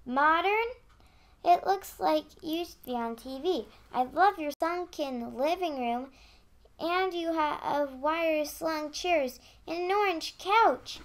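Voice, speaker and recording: Young girl, high-pitched, earnest, homesick, second grader, radio drama. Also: expressive, slow, heavily acted. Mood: curious, polite. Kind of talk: radio play acting.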